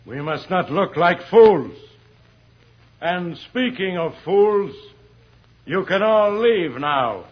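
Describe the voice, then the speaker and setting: An actor playing an imperious, scheming villain ruler in an old radio serial, theatrical and threatening, with a husky voice. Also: male voice, high voice, expressive, slow, slight background noise. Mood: stern, sarcastic. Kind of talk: 1950s radio serial acting. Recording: dull lo-fi old radio recording.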